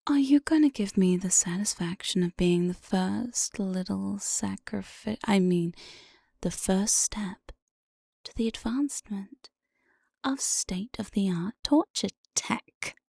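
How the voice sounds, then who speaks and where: A woman playing an evil, playful demon jailer in an ASMR roleplay, breathy and often whispering, with a sly, mock-sweet menace, close on the microphone. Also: medium pitch, mellow, dry recording, exaggerated voice. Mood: sly persuasion. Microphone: close binaural studio microphone, dry.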